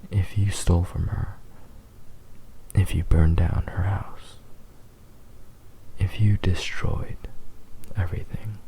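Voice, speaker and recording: A man playing a possessive, devoted young fox spirit husband in a whispered romantic ASMR roleplay, soft, tender and hesitant. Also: low voice, fairly even intonation, takes it slowly, vocal fry, pauses often, heavily acted, poor audio. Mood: musing, intense.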